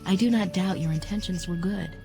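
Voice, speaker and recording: A composed elf wise woman from a fantasy game. Her voice is low, dark and creaky, and she speaks with calm, reflective formality. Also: fairly quick, faint music behind. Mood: gently reproving. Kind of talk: fantasy video game acting, measured storytelling.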